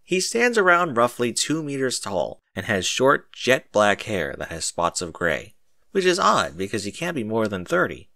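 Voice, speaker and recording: A man reading a story as animated scripted narration, recorded close and dry. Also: mid-range voice, moderate pace, gravelly, dry recording. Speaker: narrator of a fan fiction audiobook.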